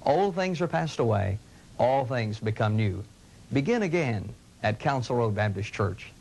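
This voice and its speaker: A middle-aged man, a pastor, speaking in an earnest, gentle, inviting tone in scripted television ads from the 1980s. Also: medium pitch, lively intonation, a little slow, vocal fry, slight background noise, rough recording. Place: studio sets and church rooms, indoors. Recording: 1980s local TV ad audio.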